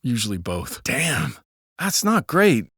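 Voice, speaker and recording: An actor playing a brash, sardonic, foul-mouthed American cop in a video game, with slangy delivery. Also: male voice, mid-range voice, very animated, slow, fairly bright tone, slightly rough, no room sound, clean studio sound, exaggerated voice. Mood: casual, sardonic. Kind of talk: scripted video game character barks and banter.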